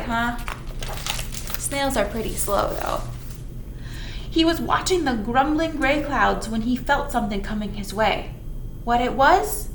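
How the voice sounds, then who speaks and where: Lady doing kids storytime, slow singsong read aloud, echoey room w some noise. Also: high-pitched. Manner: singsong, asking questions of young listeners. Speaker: children's librarian leading storytime.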